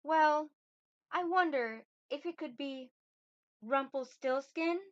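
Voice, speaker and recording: Woman playing a high, coy young fairy-tale queen. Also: slow pace. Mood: coy, playful. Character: soft-spoken, feminine young queen, coyly guessing. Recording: roomy, dull lo-fi home recording.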